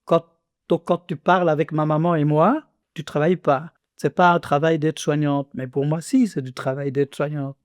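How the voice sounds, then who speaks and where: A man's voice: fairly high pitch, fairly even intonation, normal speed, no echo, studio quality. A man in his sixties, born in Congo, giving reflective conversational testimony in a podcast interview, speaking earnestly with many restarts and fillers. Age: 60s.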